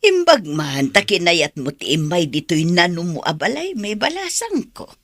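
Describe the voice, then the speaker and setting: A woman's voice: fairly low pitch, wildly swooping pitch, moderate pace, fairly bright tone, dry recording, studio quality, heavily acted. An actress playing a welcoming mother in a radio drama, with an Ilocano accent. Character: welcoming mother of a poor, happy family.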